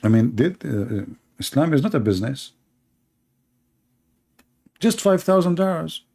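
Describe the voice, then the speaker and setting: A man's voice: on the high side, big pitch swings, a little slow, gravelly, darkish tone. A man, hosting a commentary show and mocking video clips in a sarcastic, rambling way with many fillers.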